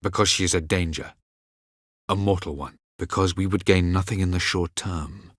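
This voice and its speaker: An actor playing an ancient, aloof elven sage in a video game, speaking in a measured, formal and condescending way. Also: male voice, sits low, no room sound, studio quality. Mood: grave. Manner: measured, formal, haughty delivery. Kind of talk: scripted video game dialogue.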